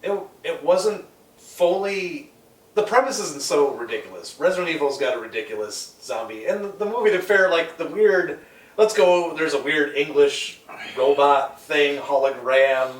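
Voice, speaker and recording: Animated man in an echoey room. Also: on the high side, normal speed. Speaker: podcast co-host. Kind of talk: casual panel discussion. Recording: echoey room, distant mic, faint noise.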